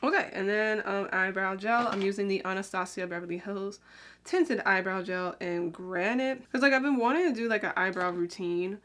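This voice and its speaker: A young woman talks to camera at home while applying makeup, loose and rambling, filling pauses with "like" and "um". Her voice sits at a middle pitch and drops softer whenever she leans in to work, then lifts again as she explains. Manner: chatty, rambling, lots of "like" and "um". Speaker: beauty vlogger. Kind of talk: casual get-ready-with-me makeup chat.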